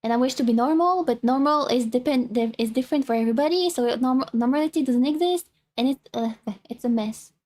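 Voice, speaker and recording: A woman's voice: high voice, fairly quick, darkish tone. A young woman with a French accent, chatting casually as a VTuber streamer, rambling and self-conscious with many restarts. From France. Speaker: VTuber streamer reacting to a video. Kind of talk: casual chat.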